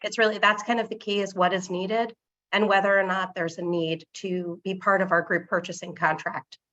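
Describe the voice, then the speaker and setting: A hoarse woman who is losing her voice explains things helpfully from outside the room. Her audio is dull and lo-fi. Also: mid-range voice, little pitch movement, moderate pace, pushed and bright. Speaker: executive director. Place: remote, not in the room.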